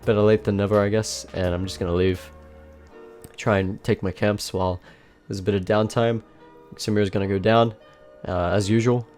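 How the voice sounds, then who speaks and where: A man with a somewhat low voice, a little nasal from a blocked nose, talks through his own match in a loose, self-mocking way. Soft music hums faintly behind him. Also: moderate pace. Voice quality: slightly nasal. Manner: self-mocking, relaxed, frequent fillers. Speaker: gameplay commentator. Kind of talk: casual voice-over commentary on his own match. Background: faint background music.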